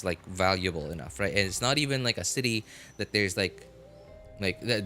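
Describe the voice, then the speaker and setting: A man streaming a game, chatting casually and rambling, with many restarts and filler words. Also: lowish voice. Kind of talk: casual chat while gaming on a live stream. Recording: loud game music behind. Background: loud game music.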